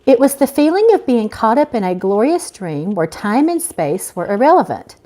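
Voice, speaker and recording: A middle-aged woman reads fiction aloud in an informal live setting. Her voice sits fairly high and moves with very lively intonation. The delivery is expressive and unpolished, that of an amateur rather than a trained narrator. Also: moderate pace. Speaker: author reading own novel aloud. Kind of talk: live amateur audiobook reading with casual asides.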